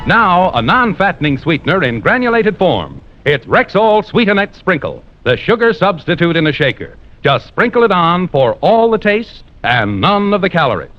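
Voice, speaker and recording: A man's voice: high-pitched, sing-song, normal speed, few pauses, faint music behind. A man announcing in polished, emphatic 1950s radio style, reading scripted copy like a salesman. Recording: muffled old radio recording.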